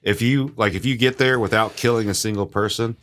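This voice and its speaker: A man's voice: on the low side, a little fast, a bit bright. A man co-hosting a video game podcast, chatting casually in a terse, matter-of-fact way with offhand swearing. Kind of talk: casual chat about video games.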